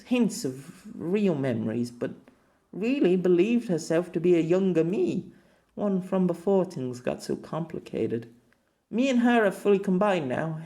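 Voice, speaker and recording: A man's voice: high-pitched, warm tone, exaggerated voice. A man voicing a grieving empress who reads out a tender, solemn farewell letter.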